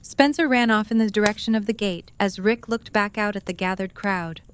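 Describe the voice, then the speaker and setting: Female narrator for scripted fiction, medium-pitched, with light background ambience. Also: moderate pace, slight background noise.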